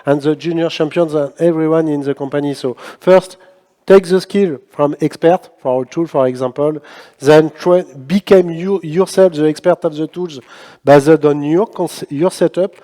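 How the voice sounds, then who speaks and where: Halting man in a reverberant hall. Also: a little high, steady tone, dark tone. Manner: halting, many restarts and fillers. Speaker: panel speaker. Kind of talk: unscripted panel answer. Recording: long reverb of a large hall.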